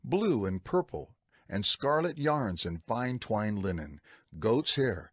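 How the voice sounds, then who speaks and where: An actor playing a solemn male scriptural figure giving firm instructions in a measured, declamatory dramatized reading. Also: on the low side, very animated, no room sound, studio quality, full character voice. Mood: solemn, instructive.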